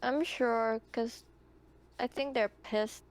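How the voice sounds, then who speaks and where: A woman's voice: a little high, fairly even intonation, slow pace, a little hiss or hum. A woman chatting informally, with some swearing, as a player in a tabletop role-playing game. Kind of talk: casual game table chat.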